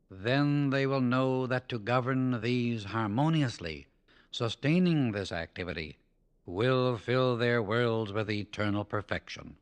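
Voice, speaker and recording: A man reads a spiritual discourse aloud in a solemn, measured way, and his voice sits at an ordinary middle pitch. His delivery is earnest and exhortative, as if he is speaking straight to the students he addresses. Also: smooth. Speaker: audiobook narrator.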